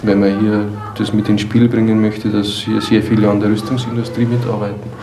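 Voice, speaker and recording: Low, flat-voiced man with some creak. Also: a little fast. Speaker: member of the public giving opinions. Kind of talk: spontaneous spoken opinion in original-sound radio collage. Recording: echoey room, faint noise.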